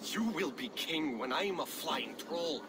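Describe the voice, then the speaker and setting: An actor playing a disgruntled, scoffing settler in a video game cutscene. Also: male voice, fairly high pitch, expressive, takes it slowly, noisy background. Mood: jeering. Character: disgruntled, jeering male settler villager.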